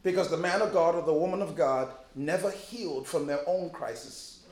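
A man's voice: fairly high pitch, normal speed, darkish tone, echoey room. A man from Liberia preaching a sermon as a pastor, emphatic and joking, with repeated phrases. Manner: emphatic preaching with humor and repetition.

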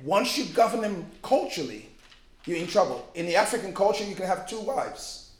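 Liberian-born pastor, high-pitched, emphatic and humorous sermon delivery, roomy hall sound. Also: male voice, big pitch swings, fairly quick. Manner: emphatic preaching with humor and repetition.

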